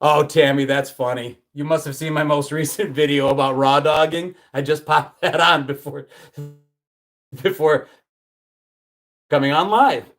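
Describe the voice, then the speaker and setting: A man's voice: fairly high pitch, fairly even intonation, measured pace, pauses often, fairly bright tone. A man in his fifties from the United States hosting a live stream, chatting casually, reading out viewer comments and rambling with many restarts. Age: 50s. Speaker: livestream host.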